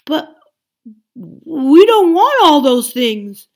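A woman reading aloud, voicing an old, slow-witted male bear who speaks plainly and earnestly. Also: sits high, very animated, a little mellow, voice pushed up, heavily acted.

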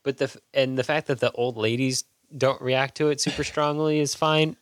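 A man's voice: medium pitch, fairly even intonation, moderate pace, no room sound. A man co-hosting a podcast in casual film discussion. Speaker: podcast host.

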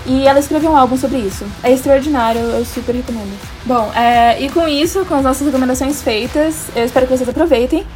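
A young Brazilian woman hosts a casual podcast with a very high voice. Her delivery is enthusiastic and full of slang. Faint music plays underneath. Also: quick pace, few pauses, a little mellow. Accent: Brazilian Portuguese. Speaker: podcast host.

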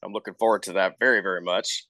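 A man's voice: sits low, fairly even intonation, quick pace, pushed and bright, no echo. A man hosting a casual interview, informal and encouraging as he talks. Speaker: show host, interviewer.

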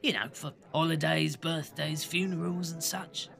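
A man playing a kindly, roguish vagabond recruiter in an audio drama, gravelly voiced, encouraging and jaunty. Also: a little high, steady tone, moderate pace, a bit bright, soft background music. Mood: jaunty, persuasive. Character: kindly roguish leader of a vagabond outcast crew.

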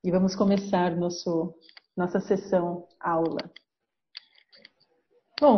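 A Brazilian woman hosts a podcast as an astrologer, laying out her subject step by step like a patient lesson. Her voice sits at a middle pitch. The recording sounds a little dull and lo-fi. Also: a little hiss or hum. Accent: Brazilian Portuguese. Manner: didactic, explains step by step.

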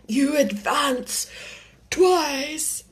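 A woman's voice: high voice, animated, pitched above the performer's own voice, exaggerated voice. A woman voicing a melancholy male turtle creature in a children's storybook, drawing out the words with wistful emotion. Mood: excited, wistful. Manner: slow, drawn-out, emotional delivery. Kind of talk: children's storybook character reading.

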